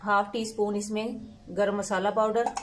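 A woman's voice: medium pitch, flat delivery, normal speed, background noise. A middle-aged woman hosting a cooking channel, explaining each step of a home recipe patiently. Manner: patient, instructive, homely. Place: home kitchen.

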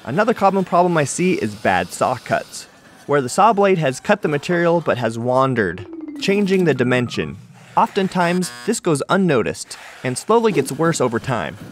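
A man's voice: a little high, big pitch swings, normal speed, a little hiss or hum. A man in his forties teaching metal fabrication in a large workshop, explaining each step plainly and often asking questions aloud. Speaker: welding and fabrication instructor. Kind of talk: shop demonstration with voice-over.

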